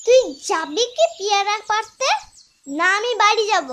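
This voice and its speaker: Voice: extremely high pitch, lively intonation, normal speed, bright, forceful tone, heavily acted. A performer voicing a bossy little village sister in a cartoon, nagging and teasing. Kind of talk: Bengali cartoon acting.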